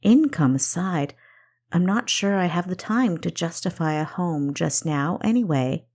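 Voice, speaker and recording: A reserved elder sister who serves as a city guard in a fantasy audiobook, voiced by a woman narrator. She is practical and matter-of-fact, with a slightly low woman's voice. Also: animated, unhurried, a little mellow. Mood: hesitant, firm.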